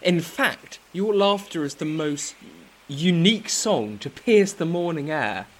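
A man's voice: on the high side, expressive, a little slow. A man playing an awkward, earnest half-elf adventurer in a fantasy audio drama. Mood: flirtatious.

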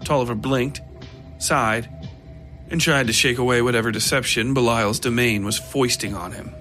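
A man's voice: medium pitch, moderate pace, sharp tone. Male horror story narrator over music. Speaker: narrator of a horror fiction podcast. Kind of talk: scripted dramatic story narration. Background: music behind the voice.

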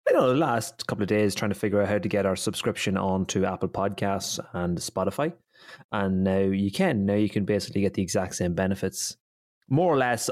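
A man's voice: deep voice, no echo, studio quality. Rambling, giggly male podcast host. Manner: giggly, rambling with many restarts. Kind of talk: casual podcast chat.

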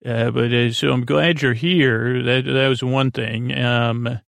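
A man hosting a bedtime podcast in a meandering, halting monologue that trails off mid-word, with whimsical, gently silly asides. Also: medium pitch, steady tone, gravelly, no echo. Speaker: podcast host.

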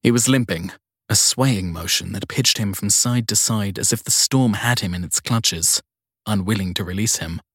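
A man with a fairly deep, husky voice narrates crime fiction as an audiobook. The sound is close and dry, with no room around it. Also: moderate pace, a bit bright, no echo.

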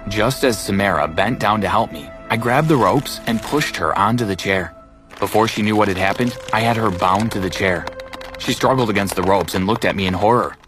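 A man's voice: on the low side, brisk, over music, close to an everyday voice. An actor playing a bitter, wounded young man who narrates his own thriller dramatically in the first person. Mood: tense, grim.

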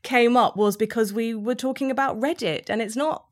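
A woman's voice: a little high, normal speed, slight background noise. Conversational woman hosting a podcast. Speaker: lead podcast host.

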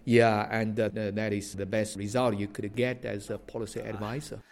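A man's voice: lowish voice, level tone, moderate pace, vocal fry, a little mellow. A man from China, an academic and government advisor, answering interview questions with frequent hesitations and filler sounds.

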